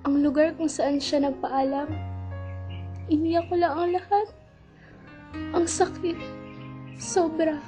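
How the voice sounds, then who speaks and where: A woman's voice: high voice, fairly even intonation, takes it slowly, pauses often, warm tone, faint music behind. A woman with a Filipino accent narrating as a young woman, in a reflective and wistful way. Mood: sad. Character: young woman recalling her love, wistful. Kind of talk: scripted narration in audio drama.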